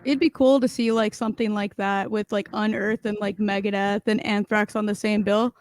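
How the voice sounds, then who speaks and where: A young woman, asking questions in a casual, chatty way full of likes. Her voice sits at a middle pitch and sounds dry and close. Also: steady tone, moderate pace, no room sound. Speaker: interviewer, podcast host. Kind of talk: casual interview chat.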